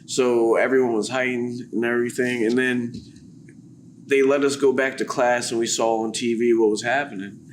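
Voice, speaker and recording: A man's voice: medium pitch, level tone, normal speed, slight background noise. Easygoing male podcast host. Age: 30s. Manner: easygoing, laughs often, storytelling. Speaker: podcast host, former boxer. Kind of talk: casual podcast chat.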